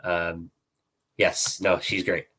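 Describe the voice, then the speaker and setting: A man's voice: sits low, level tone, slow, bright, forceful tone. A man talking as a guest analyst on a hockey podcast, hesitant, with many ums and restarts. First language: not Czech. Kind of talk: podcast interview chat.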